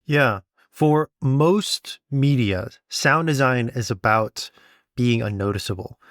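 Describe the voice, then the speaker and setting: A man's voice: mid-range voice, slow, fairly bright tone, no echo, studio quality. A man co-hosting a podcast about sound design, talking in a conversational, matter-of-fact way. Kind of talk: behind-the-scenes podcast discussion.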